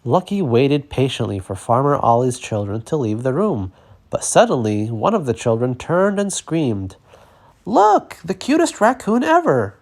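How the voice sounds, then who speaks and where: A man narrating a children's story as an expressive read-aloud. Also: medium pitch, moderate pace.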